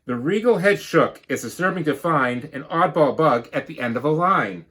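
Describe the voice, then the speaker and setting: A man's voice: a little high, expressive, normal speed, fairly bright tone. A man reading a children's storybook aloud like a teacher, rhythmically, with explanatory asides.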